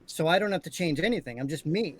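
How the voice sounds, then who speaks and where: A man's voice: on the high side, expressive, quick pace. A man who does voice acting, talking casually and explaining how he works. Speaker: voice actor. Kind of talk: casual chat. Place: indoors, on a group video call.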